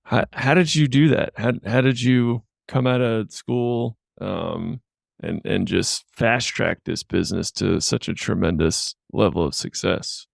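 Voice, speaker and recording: A man hosting a podcast interview, enthusiastic and affirming, asking halting, repetitive questions. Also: on the low side, vocal fry, no room sound, clean studio sound. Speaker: podcast host.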